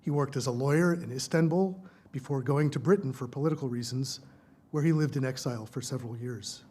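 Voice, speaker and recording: A man's voice: medium pitch, a little mellow, breathy edge. Man giving formal event introductions. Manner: measured and formal, reading from prepared remarks. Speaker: event host introducing speakers. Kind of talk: reading formal introductions at a podium. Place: lecture hall at a research library.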